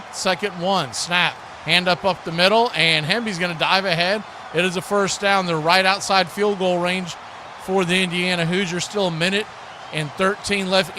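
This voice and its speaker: A man's voice: high-pitched, noisy background. An American man working as a sports host and play-by-play commentator on a live football watch party.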